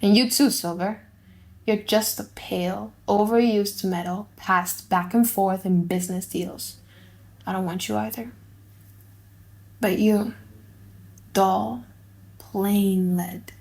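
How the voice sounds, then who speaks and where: A woman voicing an earnest young male suitor in a dramatic audiobook, speaking deliberately in emphatic short phrases. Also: medium pitch, steady tone, darkish tone. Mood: resolute. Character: earnest young male suitor musing gravely, then smitten. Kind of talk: dramatic audiobook reading in modern English.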